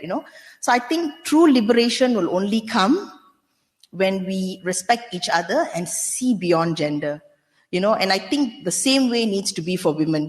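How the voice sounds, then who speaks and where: A woman's voice: mid-range voice, in a large hall. Candid woman on a conference panel. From Malaysia. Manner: candid, emphatic, frequent "you know" and restarts. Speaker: panelist, senior legal counsel. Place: conference hall.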